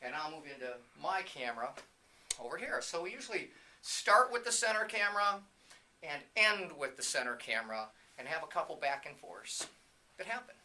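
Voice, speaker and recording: Bright, forceful, chatty man giving a tour. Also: a little high, big pitch swings, moderate pace. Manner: informal, chatty, off-the-cuff. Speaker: CEO and presenter of a training company, giving a tour. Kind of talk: casual walking tour narration. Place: indoors, walking through a video production facility. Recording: light background noise, room ambience.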